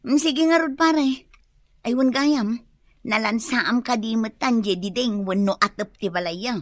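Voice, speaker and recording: A woman's voice: on the high side, unhurried, no echo, clean studio sound. A woman with an Ilocano accent acting an exasperated, nagging wife and mother in a radio drama. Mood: worried, inquiring. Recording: noisy ambience behind the voice.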